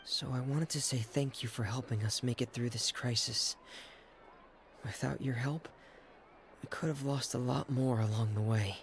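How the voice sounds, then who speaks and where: A man's voice: mid-range voice, steady tone, moderate pace, vocal fry, a little hiss or hum, natural voice. An actor voicing an earnest young male stage magician in a game's dialogue. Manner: earnest, heartfelt game dialogue.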